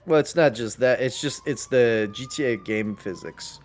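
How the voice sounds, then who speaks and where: A man's voice: mid-range voice, normal speed. A talkative man chatting casually as he plays a video game, explaining things at length and swearing freely. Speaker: gamer in a role-play server. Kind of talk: casual chat while gaming.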